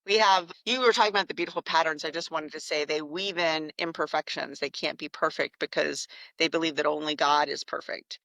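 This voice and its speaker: Bright, gravelly woman. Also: deep voice, steady tone, brisk, hardly any pauses, no room sound, poor audio. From United States. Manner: reflective, warm storytelling with some restarts. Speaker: guest. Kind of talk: conversational interview.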